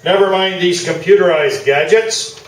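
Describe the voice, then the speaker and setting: A man's voice: high-pitched, normal speed, lightly acted. A man playing a pompous, self-satisfied old-time sports columnist in a live stage radio play. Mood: grandiose. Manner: pompous, orating. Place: small theatre, live performance. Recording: roomy stage recording from far off.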